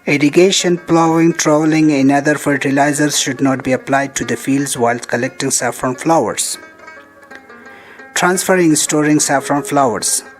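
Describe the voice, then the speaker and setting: A man narrates an educational film in a mid-pitched voice. He reads a prepared script formally, laying out instructions one step at a time. Music plays faintly behind him. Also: poor audio. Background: background music.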